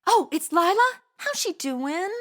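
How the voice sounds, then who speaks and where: An actress playing a breezy, tactless young podcaster with flippant modern slang in a comedy audio drama. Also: female voice, very high-pitched, very animated, measured pace, fairly bright tone, exaggerated voice. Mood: blunt.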